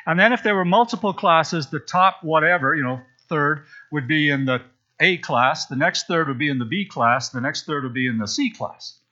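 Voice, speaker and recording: A man's voice: on the high side, moderate pace, bright, forceful tone, highs cut off. A man from Zambia preaching a sermon in a church, chatty and storytelling, with frequent asides. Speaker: pastor. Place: church sanctuary.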